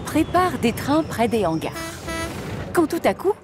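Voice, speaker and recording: A woman's voice: sits high, very animated, measured pace, over music. A woman narrating a French cartoon episode in a storytelling manner.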